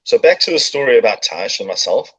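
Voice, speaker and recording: A man's voice: mid-range voice, sing-song, brisk, a bit bright, lo-fi sound. A middle-aged man with a South African accent, chatting as a podcast guest and telling his story with jokes and fillers. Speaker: podcast guest, business owner. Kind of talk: casual interview chat. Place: coworking space.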